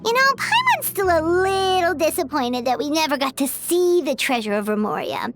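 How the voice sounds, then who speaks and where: A woman playing a tiny, blunt, excitable girl companion in a video game cutscene, speaking in an exclamatory way. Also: high voice, moderate pace, faint music behind, full character voice. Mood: mildly disappointed. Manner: speaks of self in third person, exclamatory.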